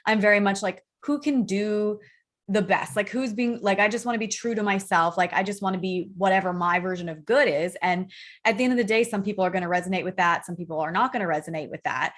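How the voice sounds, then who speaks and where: A woman with a soft, girlish, feminine voice talking casually and candidly as an interview guest, often saying "like". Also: medium pitch, fast talker. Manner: candid, informal, peppered with "like". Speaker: interview guest, rock band singer.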